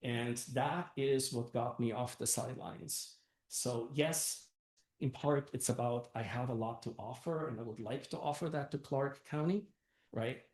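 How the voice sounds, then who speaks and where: A man's voice: medium pitch, unhurried, darkish tone. Older man giving a campaign talk, echoey. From Austria and Germany. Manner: earnest, conversational, many fillers and "right?". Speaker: council candidate. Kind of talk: campaign speech at a meeting. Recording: echoey room.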